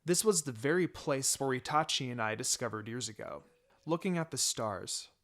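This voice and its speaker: A man narrating a story in the first person in an expressive, dramatised way. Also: mid-range voice, moderate pace. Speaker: fan fiction reader. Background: background music.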